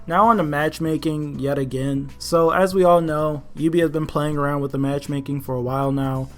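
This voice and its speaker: A man's voice: a little high, steady tone, normal speed. A man giving casual, opinionated commentary as the host of a gaming news video. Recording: music behind the voice. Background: background music.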